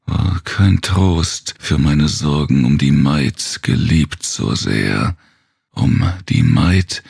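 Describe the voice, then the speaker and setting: Very deep gravelly man reciting dark poetry. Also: no echo, studio quality. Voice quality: very gravelly, husky. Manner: brooding, theatrical, dropping into whispers. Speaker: narrator. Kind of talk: dramatic gothic horror poetry recitation.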